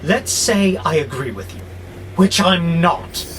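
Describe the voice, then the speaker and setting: An actor playing a belligerent, sardonic warehouse robot who grumbles with exasperation in an audio drama. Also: male voice, on the high side, lively intonation, slow pace, fairly bright tone, a little hiss or hum. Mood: skeptical. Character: grumpy, belligerent male warehouse robot, war-hungry, wary.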